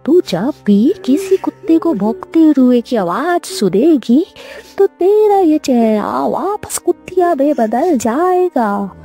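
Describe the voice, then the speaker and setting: A woman voicing an angry old village woman who lays curses, in a stern, drawn-out scolding manner for a cartoon story. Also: high voice, big pitch swings, warm tone, faint music behind, voice pushed up, exaggerated voice.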